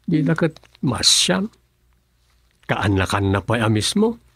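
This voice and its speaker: A man's voice: medium pitch, very animated, slow, fairly bright tone, husky, dry recording, exaggerated voice. An actor with an Ilocano accent playing a grumbling, sleepy village councilman in a radio comedy-drama.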